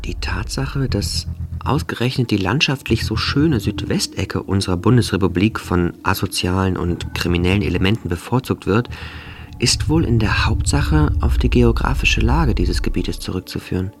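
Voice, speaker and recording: An actor playing a stern 1950s police journal writer, reading formal bureaucratic prose in an officious manner. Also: male voice, fairly low pitch, normal speed, lightly acted. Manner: stiff, officious bureaucratic phrasing.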